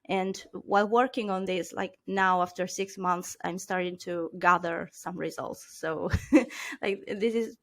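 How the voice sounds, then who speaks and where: A woman's voice: lowish voice, fairly even intonation, unhurried, fairly bright tone. A woman with an Italian accent, a native Italian speaker, talking as a guest in a casual video-call interview, hesitant and full of fillers. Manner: hesitant, many fillers, laughs often. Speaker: guest, digital strategist.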